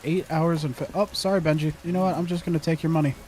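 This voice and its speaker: A man's voice: fairly high pitch, fairly even intonation, fast talker, darkish tone, a little hiss or hum. A young man giving casual gameplay commentary, muttering to himself, humming and repeating phrases. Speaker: gaming streamer.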